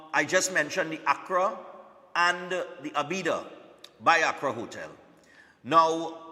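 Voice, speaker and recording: A man's voice: fairly high pitch, sharp tone, in a large hall. A man hosting a live morning TV show, chatty and repetitive, often checking in with "all right?".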